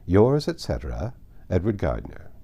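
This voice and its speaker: Man reading as a formal, kindly uncle, mellow tone. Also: low voice, wildly swooping pitch, moderate pace, heavily acted. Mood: formal, reassuring. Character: businesslike, kindly middle-aged uncle dictating a letter. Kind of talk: audiobook letter reading.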